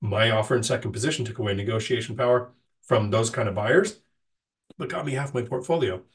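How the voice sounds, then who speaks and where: A man's voice: medium pitch, a little fast, distant mic. A middle-aged man with a sick, nasal voice, casually answering live chat questions as host, with frequent ums and restarts.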